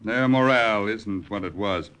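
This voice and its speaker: A man's voice: lowish voice, moderate pace, fairly bright tone, clean tone, natural voice. A man playing an authoritative American army colonel in a 1950s radio drama. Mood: businesslike. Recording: faintly noisy old radio broadcast.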